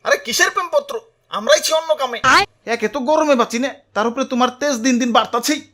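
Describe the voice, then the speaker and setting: A man's voice: extremely high pitch, quick pace, a bit bright. A man with a Bangladeshi accent playing a cheeky, grumbling cartoon hero, full of complaints and exclamations in comic dialogue. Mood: confrontational. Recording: music behind the voice.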